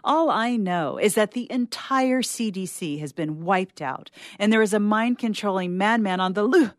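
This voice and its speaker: A woman's voice: medium pitch, normal speed, no echo, clean studio sound, lightly acted. A woman playing an angry female prosecutor who questions a witness formally and accusingly in a short-story reading. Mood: angry, incredulous.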